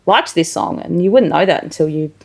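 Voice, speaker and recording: A woman's voice: fairly low pitch, lively intonation, fairly quick, creaky. An Australian woman hosting a casual interview, talking in an informal, rambling way. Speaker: interview show host.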